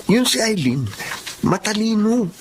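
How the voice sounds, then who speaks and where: A woman's voice: medium pitch, big pitch swings, a little slow, background noise. A woman acting a sly, mocking teenage student in a Filipino radio drama, teasing and persuasive, with a Filipino accent. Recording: music behind the dialogue.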